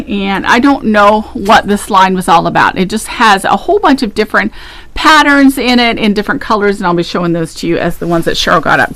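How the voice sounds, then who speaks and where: A woman's voice: medium pitch, fairly bright tone. A woman co-hosting a casual fabric show, chatting about prints, colors and prices and laughing easily. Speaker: fabric shop co-host. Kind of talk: casual live product showcase.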